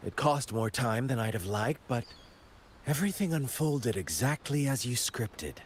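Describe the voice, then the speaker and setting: A man's voice: medium pitch, lively intonation, normal speed, slight background noise, natural voice. A man playing a sly, nihilistic villain in a video game cutscene, theatrical and mocking, savouring his words over faint ambience. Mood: amused, teasing. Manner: drawling, savouring, teasing.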